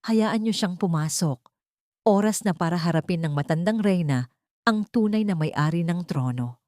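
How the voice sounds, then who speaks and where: A woman voicing a cold, vengeful wronged wife in an audio drama, calm and quietly authoritative. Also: lowish voice, moderate pace, dry recording, clean studio sound, close to an everyday voice. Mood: icy.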